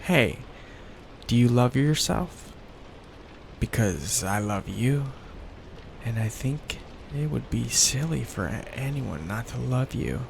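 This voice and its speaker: Creaky-voiced, doting young mafia heir played by a man. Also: medium pitch, expressive, slow pace, long pauses, slight background noise. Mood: tender, earnest. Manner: tender, coaxing, hesitant, asks for reassurance. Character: soft-hearted young male mafia heir, doting, possessive, hesitant. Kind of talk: intimate ASMR boyfriend roleplay.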